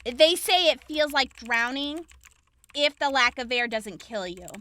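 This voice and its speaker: A woman acting a brisk, clinical young examiner in a post-apocalyptic audio drama, explaining gory details frankly. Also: high-pitched, expressive, sharp tone, exaggerated voice. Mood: grim, explanatory.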